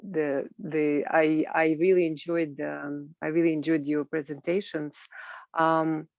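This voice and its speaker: A woman panellist with a gravelly, creaky edge gives an informal talk over a video call. She speaks haltingly, with frequent filled pauses. The sound is dull and band-limited, close and dry. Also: low voice, little pitch movement, no echo. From Kazakhstan. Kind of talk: informal spoken presentation at an online roundtable. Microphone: video call microphone.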